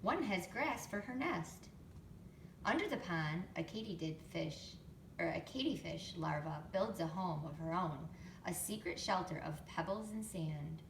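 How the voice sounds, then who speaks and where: A woman reading a picture book to young children expressively, pausing to explain things and ask them questions. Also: on the low side, gravelly. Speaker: storytime reader, teacher to young children. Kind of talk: picture book read-aloud with chatty asides. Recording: roomy, distant mic, faint background noise.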